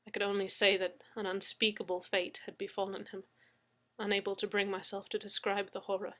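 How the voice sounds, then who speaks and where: Bright-voiced woman reading a story. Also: mid-range voice, monotone. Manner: measured narration. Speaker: reader. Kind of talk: reading a serialized story aloud.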